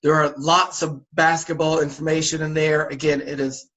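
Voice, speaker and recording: A man with a sore throat, sniffling a little, briefing volunteer youth basketball coaches over a video call in a relaxed, repetitive way with many fillers. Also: a little high, normal speed. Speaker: youth basketball league organizer.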